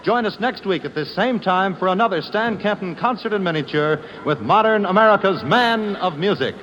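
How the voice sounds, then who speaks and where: A man's voice: high voice, lively intonation, moderate pace, dull audio. A man working as a radio announcer, speaking in a formal 1950s broadcast style from a ballroom stage. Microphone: stage broadcast microphone. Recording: very noisy live radio recording, loud crowd. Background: loud crowd.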